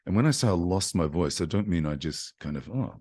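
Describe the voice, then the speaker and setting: A man, a singer-songwriter, telling a story in a chatty, animated way to an online audience over a video call. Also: low-pitched, a little fast, slightly rough. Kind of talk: casual talk to an online audience.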